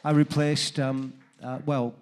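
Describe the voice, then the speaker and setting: A man with an Oklahoma accent introduces himself on a panel, halting with many ums. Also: medium pitch, flat delivery, darkish tone, soft background music. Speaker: drummer on a panel. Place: cruise ship event room.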